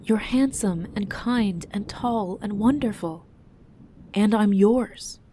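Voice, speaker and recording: A woman's voice: mid-range voice, brisk, a little mellow, a little hiss or hum, natural voice. A woman voicing an upset, jealous young wife in a storybook, emotional and defensive. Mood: pleading, hurt. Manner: pleading, emotional.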